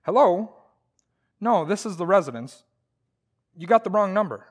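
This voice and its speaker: A man's voice: high voice, animated, moderate pace, breathy edge. A man playing a blustering, wisecracking, stubborn husband in old-time radio comedy, with a folksy, exaggerated, jokey delivery. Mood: chatty.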